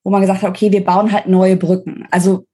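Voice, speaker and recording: A woman speaking as an interview guest, giving opinionated, explanatory political analysis in a conversational way, pausing between phrases. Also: mid-range voice, flat delivery, dark tone, husky.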